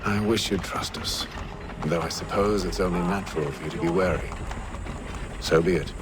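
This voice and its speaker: Low, composed commander, played by a man. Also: steady tone, background noise, close to an everyday voice. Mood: calm, commanding. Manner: formal, measured, commanding. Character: composed, calculating male leader of a covert band. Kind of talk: video game cutscene acting.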